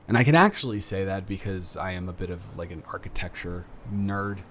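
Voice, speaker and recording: A young man playing an earnest, awkward college student smitten with a dormmate, rambling and halting in an intimate roleplay audio drama. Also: low-pitched, close to an everyday voice. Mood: awed, reflective. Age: young adult.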